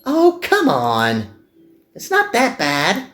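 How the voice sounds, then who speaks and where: Man acting a young magical girl, high lifted voice, earnest, gentle, visual novel dialogue, swooping intonation. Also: wildly swooping pitch, slow, echoey room, soft background music, heavily acted. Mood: encouraging. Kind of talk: visual novel character dubbing.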